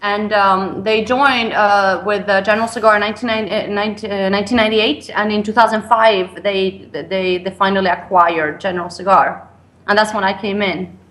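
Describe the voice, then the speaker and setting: A young woman from Sweden who grew up in France answers interview questions over a remote call. She speaks at a middling pitch and often pauses, saying um and restarting her sentences. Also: fairly even intonation, a bit bright. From Sweden, raised in France. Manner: hesitant, many ums and restarts. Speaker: guest, cigar company founder.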